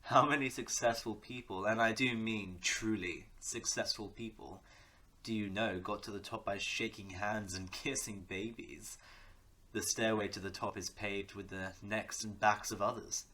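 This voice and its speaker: An arrogant crime lord acted by a man holds forth in a fairly low, hard-edged voice. He is theatrical and condescending, savoring every threat as a villain in an audio drama. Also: steady tone, unhurried, sharp tone, low-quality recording. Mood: smug, cold. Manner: theatrical, condescending, chuckling menace. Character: menacing, arrogant male crime boss, smug mentor turned captor. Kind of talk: audio roleplay villain acting.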